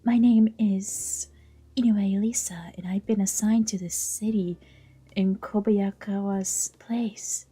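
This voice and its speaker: A woman voicing a composed female police agent, polite and matter-of-fact, in a soft breathy voice. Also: mid-range voice, steady tone, slow pace. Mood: cool, formal.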